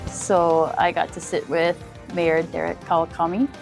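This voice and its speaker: A woman's voice: lowish voice, level tone, unhurried, vocal fry, a little mellow, soft background music. A woman working as an emergency dispatcher, talking informally in an on-camera interview.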